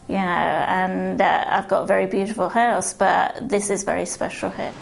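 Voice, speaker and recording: Brief: earnest woman interviewee, gravelly, monotone, halting. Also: medium pitch, a little hiss or hum, low-quality recording. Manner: hesitant, earnest, many restarts. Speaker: interviewee, treehouse owner. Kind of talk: casual interview answers. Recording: music under interview.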